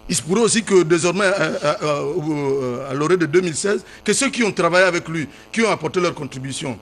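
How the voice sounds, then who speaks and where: A man arguing on a televised political debate panel, loud and insistent, often repeating himself. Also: on the high side, fast, slight background noise. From Gabon.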